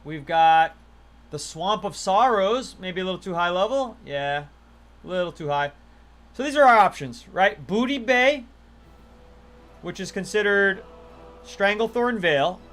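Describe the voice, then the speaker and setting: A man's voice: high-pitched, expressive, unhurried, long pauses, fairly bright tone. Chatty male game streamer. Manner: chatty, playful, thanks viewers and runs mock auctions. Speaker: livestream gamer. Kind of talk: casual gaming livestream chat.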